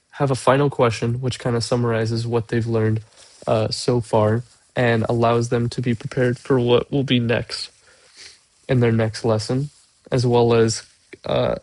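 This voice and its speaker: A man's voice: mid-range voice, a little mellow, no room sound. A young man talks through a lesson he made. His nose is blocked from a cold, so his voice sounds stuffy and congested. He speaks with many hesitant "uh"s into a computer mic in a dry, close sound. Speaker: education student presenting a lesson. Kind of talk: screen-recorded lesson walkthrough. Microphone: computer mic, voice-over on screen recording.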